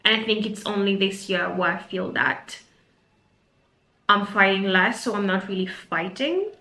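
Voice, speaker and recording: Woman, Mauritian accent, Creole native speaker; reflective unscripted vlog, bright voice, roomy sound. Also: mid-range voice, unhurried. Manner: reflective, earnest, frequent fillers and restarts. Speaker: career coach and vlogger. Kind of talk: unscripted talking-to-camera vlog. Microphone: camera mic.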